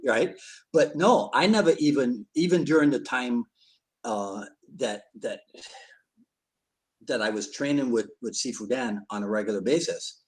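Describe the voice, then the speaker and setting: A man hosts a relaxed live-stream chat. His voice sits at an ordinary middle pitch, and he jokes easily, laughs often, and circles back over his words as he talks. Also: measured pace. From Barbados. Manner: chatty and jokey, laughs often, repeats words as he goes. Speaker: podcast host.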